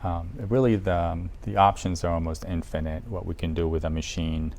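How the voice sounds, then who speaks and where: Deep gravelly man presenting casually. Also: fairly even intonation, brisk, darkish tone. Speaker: audio shop presenter. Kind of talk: casual product guide to camera. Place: audio shop, indoors. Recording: noticeable background noise, room ambience.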